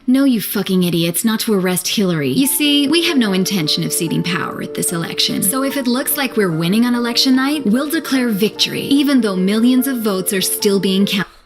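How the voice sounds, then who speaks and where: A woman narrating a satirical video in a mocking, deadpan tone. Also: medium pitch, fairly quick. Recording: played clip with loud music.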